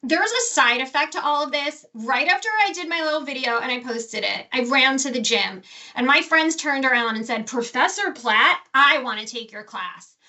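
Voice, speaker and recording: A woman's voice: high voice, animated, normal speed, sharp tone. A woman professor giving a casual, enthusiastic presentation with slides, often restarting and correcting herself. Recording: distant from the mic.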